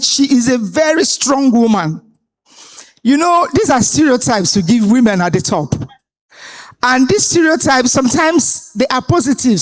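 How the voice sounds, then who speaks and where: A woman, moderating a live conference panel and introducing the speakers in a deliberate, authoritative way. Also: a little high. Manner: deliberate, authoritative delivery with pauses. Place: conference hall stage.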